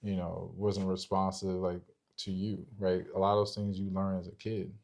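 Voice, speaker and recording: A man's voice: deep voice, little pitch movement, normal speed, vocal fry, a little mellow. A young man co-hosting a podcast, talking casually about relationships and explaining step by step while checking for agreement. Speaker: podcast co-host.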